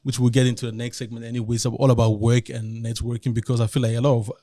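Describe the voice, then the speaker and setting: A man co-hosts a podcast at a brisk pace in a mid-range voice. He chats casually, asks questions and steers the conversation. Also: medium pitch, level tone, mellow. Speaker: podcast co-host. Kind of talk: casual chat.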